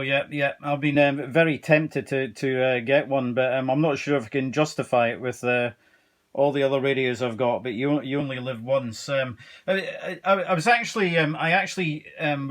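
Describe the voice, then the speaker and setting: A man talking casually as an amateur radio operator, with halting phrasing and radio procedure words. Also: mid-range voice, fairly bright tone. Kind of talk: casual ham radio exchange.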